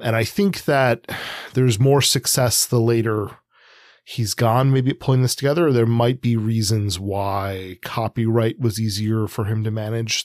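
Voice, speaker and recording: Male co-host, middle-aged, slightly low voice, dry recording. Thoughtful, measured book talk. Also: a little mellow, dry recording. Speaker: podcast co-host. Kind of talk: casual literary analysis.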